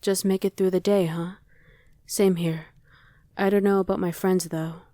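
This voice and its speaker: Casual young survivor played by a woman, ASMR drama, lowish mellow voice, very dry recording. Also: steady tone, moderate pace, no echo, lightly acted. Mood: casual, explaining. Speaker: voice actor. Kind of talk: ASMR roleplay acting.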